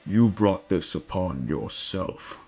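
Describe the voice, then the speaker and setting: A man voicing a ruthless, arrogant conqueror villain in an amateur dramatic reading, gravelly and full of grandiose threats. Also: low-pitched, expressive, slow pace, dark tone, heavily acted. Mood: cold menace. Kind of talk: amateur dramatic reading of comic fan fiction. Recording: music under the voice.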